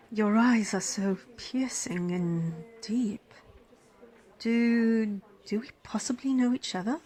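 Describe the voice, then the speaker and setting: A woman's voice: on the high side, a little mellow. A woman playing a caring, smitten emergency physician in an intimate ASMR roleplay, speaking tenderly and soothingly. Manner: tender, soothing, flustered, dropping into breathy whispers. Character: caring, smitten female emergency physician tending a crash patient. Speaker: roleplay voice performer. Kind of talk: ASMR roleplay acting, intimate one-sided dialogue.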